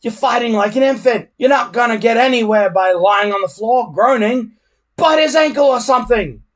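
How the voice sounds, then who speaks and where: A man's voice: very high-pitched, normal speed, pitched above the performer's own voice. A man playing an exasperated Viking warrior who bellows, in a storybook reading. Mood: exasperated yelling.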